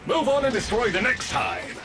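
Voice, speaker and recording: A man's voice: very high-pitched, huge pitch swings, normal speed, exaggerated voice. A man playing a prim, dutiful robotic servant in a video game, speaking in a formal, officious and grave manner. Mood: officious, commanding. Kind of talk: video game character acting. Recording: loud music behind the voice.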